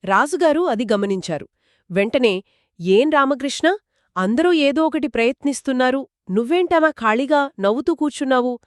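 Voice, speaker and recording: A woman co-narrating a children's story in a conversational, expressive and engaging style. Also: high voice, moderate pace, no echo, clean studio sound. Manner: expressive, engaging, acts out characters' quoted lines.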